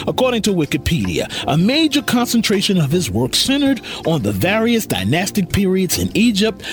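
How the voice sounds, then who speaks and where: A man with an American accent narrates from a script in a high voice that swings widely in pitch. His delivery is earnest, formal and reverent. Music plays clearly behind him. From New Jersey, United States. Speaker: host, narrator.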